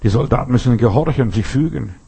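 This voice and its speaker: A man's voice: mid-range voice, animated, fast talker, creaky, warm tone, slightly rough. A man preaching a sermon, emphatic and full of rhetorical questions, often restarting his sentences.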